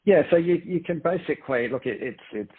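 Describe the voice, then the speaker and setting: A man's voice: a little high, expressive, brisk, husky. A man speaking as a company executive on a conference call, presenting and answering in careful, formal corporate phrasing. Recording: dull, lo-fi conference call line.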